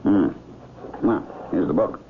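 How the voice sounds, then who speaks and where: An actor playing a courteous, inquisitive doctor in a 1970s radio drama, speaking in a measured, probing way. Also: male voice, low voice, animated. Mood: thoughtful, curious. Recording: muffled vintage radio recording, faint hiss.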